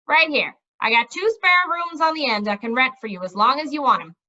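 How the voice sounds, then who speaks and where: A woman's voice: high-pitched, animated, brisk, sharp tone, dull audio. A woman voicing a cheeky, indignant male trader in a storybook, with breezy, colloquial, exclamatory delivery.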